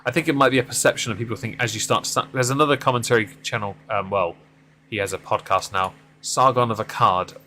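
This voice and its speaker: A man's voice: quick pace, bright, forceful tone, slight background noise. A man in his mid-thirties chatting casually with viewers on a driving game stream, rambling and self-deprecating. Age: 30s. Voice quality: deep voice. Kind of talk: casual live-stream chat while driving.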